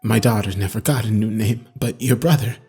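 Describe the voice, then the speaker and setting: A woman voicing a tormented, grieving male inmate in a quiet, halting, husky voice for a horror story. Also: very deep voice, faint music behind, voice pushed down, full character voice. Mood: grieving, insistent. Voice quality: husky, breathy. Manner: quiet, halting, whispering delivery.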